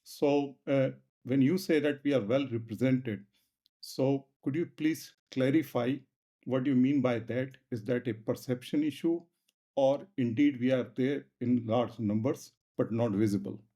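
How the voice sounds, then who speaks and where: A man's voice: mid-range voice, a little slow, dark tone. A man hosting an interview, putting his questions in careful, hesitant phrases on a dull, muffled recording. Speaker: interviewer, host.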